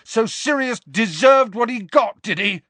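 A wounded, angry teenage boy wizard in a storybook, acted out by a male narrator. The voice sits high and strained, swinging widely as it accuses and protests. It is close and dry. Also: lively intonation, measured pace, dry recording, full character voice. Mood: yelling, furious. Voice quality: strained, cracking voice. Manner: accusing, shouting, indignant. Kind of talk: dramatic audiobook character reading.

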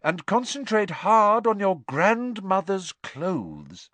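A man voicing a kindly, cheerful wizard schoolteacher in a storybook, explaining things to pupils in a deliberate, encouraging, theatrical way. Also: sits high, huge pitch swings, fairly bright tone, dry recording, voice pushed up, full character voice. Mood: eager instructing.

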